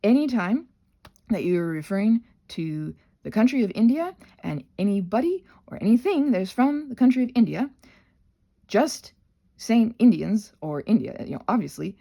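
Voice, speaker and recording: Female host, sick, creaky and slightly dark tone; casual, unscripted, halting delivery that keeps correcting itself. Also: medium pitch, lively intonation. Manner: rambling, halting, self-correcting with many trailing restarts. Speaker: host of a rambling audio channel.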